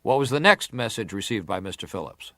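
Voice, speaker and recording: An actor playing a formal, persistent senator who questions a witness deliberately in a dramatised hearing. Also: male voice, fairly low pitch, very animated, a bit bright, dry recording. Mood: probing. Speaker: interrogator at a hearing. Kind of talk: dramatised reading of hearing testimony.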